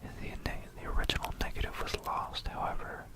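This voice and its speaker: Soft whispered ASMR narrator, fully unvoiced. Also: a little fast. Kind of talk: whispered ASMR history talk. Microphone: close binaural microphone.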